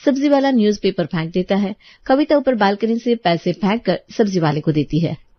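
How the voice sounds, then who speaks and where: A woman's voice: mid-range voice, expressive, brisk, no echo, dull audio. Woman narrating a story, dry sound.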